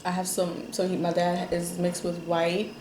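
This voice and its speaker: A woman's voice: on the low side, monotone, moderate pace. A woman from Jamaica chatting casually while eating, rambling with lots of fillers and telling stories. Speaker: mukbang host. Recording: roomy with faint noise.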